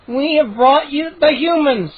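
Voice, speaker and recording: A woman's voice: high voice, unhurried, phone-quality sound, pitched above the performer's own voice. A woman voicing a grinning, smug male gnome who announces his tricks. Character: grinning mischievous male gnome leader, Hap.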